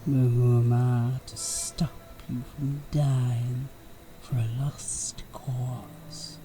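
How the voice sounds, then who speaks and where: Man voicing a very slow, smug snake outlaw. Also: mid-range voice, level tone, music in the background. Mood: mocking, menacing. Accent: American Southern drawl, Western style. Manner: slow, taunting, mock-sweet. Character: menacing, smug snake-like Western outlaw villain. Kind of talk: Western audio roleplay acting.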